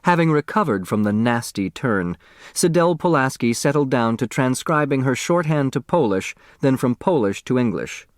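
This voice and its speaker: A man narrating a children's mystery novel as an expressive audiobook reader who acts out the dialogue. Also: mid-range voice, normal speed, no room sound, clean studio sound.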